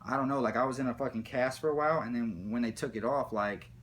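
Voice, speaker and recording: A man's voice: medium pitch, quick pace, a bit bright, a little hiss or hum. A man talking casually in a rambling, profane, slangy way, like someone spinning comic stories. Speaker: storyteller.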